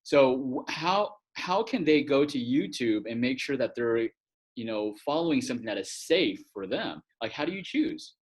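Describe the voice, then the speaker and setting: A man's voice: mid-range voice, expressive, moderate pace, breathy edge. Easygoing man hosting a chat. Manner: easygoing, laughs often, asks rambling questions. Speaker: host, acupuncturist. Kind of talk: casual interview chat.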